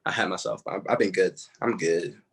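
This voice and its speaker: Low-pitched young man chatting casually. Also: brisk, vocal fry, slight background noise. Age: young adult. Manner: animated slangy storyteller, says bro and man often. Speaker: music artist being interviewed. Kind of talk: casual chat.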